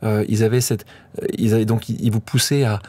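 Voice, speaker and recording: A man hosting a podcast, asking long, halting questions that search for words. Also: on the low side, little pitch movement, mellow, dry recording. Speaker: podcast host. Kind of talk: casual interview talk.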